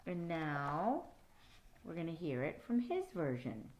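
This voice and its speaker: A woman reading a picture book aloud to children, expressive and dramatic, with explanatory asides. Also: low-pitched, takes it slowly, noisy background. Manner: expressive, dramatic, dropping into hushed whispers. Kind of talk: animated read-aloud with teaching asides.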